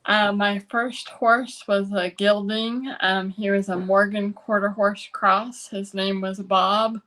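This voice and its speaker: A woman with an American accent speaking conversationally as an interview guest, halting often to gather her thoughts. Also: medium pitch, steady tone, fairly bright tone, rough recording. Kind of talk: conversational personal storytelling.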